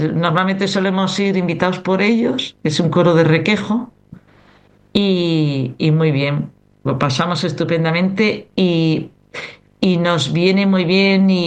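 A middle-aged woman from Cantabria with a strong Cantabrian accent, a choir member chatting loosely as an interview guest. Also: fairly low pitch. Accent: Cantabrian Spanish. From Cantabria, Spain. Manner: rambling, conversational. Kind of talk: casual interview chat.

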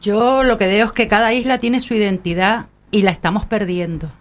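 A woman with a Canary Islands accent, a panel guest speaking in an earnest, lively way. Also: mid-range voice, moderate pace. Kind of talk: casual panel chat.

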